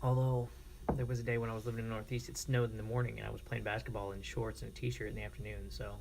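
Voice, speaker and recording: American man, creaky gravelly voice, informal livestream chatter, conversational, faint background hum. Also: on the low side, flat delivery, fast, a little mellow. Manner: informal, chatty, reads and reacts to viewer comments. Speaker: live streamer. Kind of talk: casual livestream chat with viewers.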